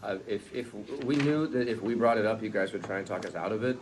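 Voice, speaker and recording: A man's voice: on the low side, quick pace, close to an everyday voice. A man playing a glib, flippant womanizer with a sincere side, reading a sitcom script. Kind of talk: sitcom script table read. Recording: clearly noisy room recording.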